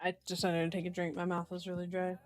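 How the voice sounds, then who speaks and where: A woman's voice: on the low side, monotone, fast talker. A woman hosting an ASMR book reading, playful and chatty, mixing narration with casual asides. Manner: playful, chatty, strays from the text into comments. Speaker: host of an ASMR channel.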